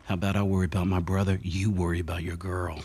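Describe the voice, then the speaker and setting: Casting: young American man, low voice, wary and protective game survivor played by a male actor, streetwise, some background noise. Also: little pitch movement, fast, a little mellow, close to an everyday voice. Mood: defensive. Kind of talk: video game drama dialogue.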